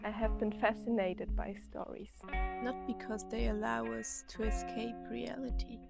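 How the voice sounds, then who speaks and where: A woman narrating a guided meditation and story in an unhurried, soothing way, with long pauses between phrases. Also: fairly high pitch, creaky.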